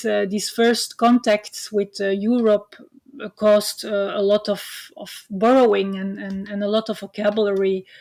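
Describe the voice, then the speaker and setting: A woman's voice: mid-range voice, darkish tone. A woman whose first language is Dutch, giving an online university lecture with frequent "uh" fillers and a hesitant, explanatory manner. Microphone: computer mic on video call.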